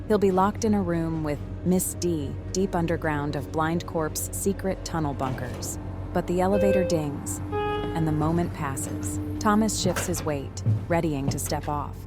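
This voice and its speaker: Low-pitched female narrator over music. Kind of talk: scripted horror drama narration.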